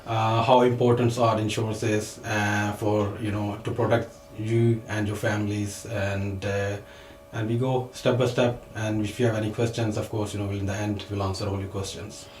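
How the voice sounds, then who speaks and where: Man, talk show guest, hesitant, throat-clearing, echoey studio. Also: on the low side, level tone, moderate pace, darkish tone, slight background noise. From Pakistan. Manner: hesitant, many fillers and repeated words, clears throat often. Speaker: financial advisor guest.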